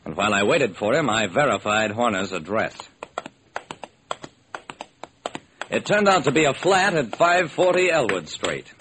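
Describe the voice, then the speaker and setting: An actor playing a brisk, determined hard-boiled male private detective, narrating and acting in a classic radio crime drama. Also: fairly low pitch, noisy background, close to an everyday voice. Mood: wry, brisk. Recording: old, slightly rough radio recording.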